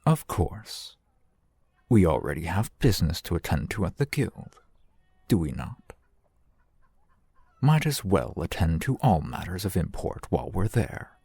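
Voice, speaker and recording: A man acts out a shrewd, courteous male shopkeeper in a fantasy ASMR roleplay. His voice is low and husky, moving through formal, polite phrases with lively rises and falls. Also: dry recording, full character voice. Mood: friendly, courteous. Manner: formal, wordy, measured, polite. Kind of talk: ASMR roleplay, scripted character acting.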